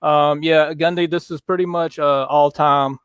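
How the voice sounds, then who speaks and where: A man hosting a casual sports chat show, talking in a relaxed, halting way with many fillers. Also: a little high, clean tone, no room sound. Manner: relaxed, halting, lots of fillers, reads viewer comments aloud. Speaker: podcast host.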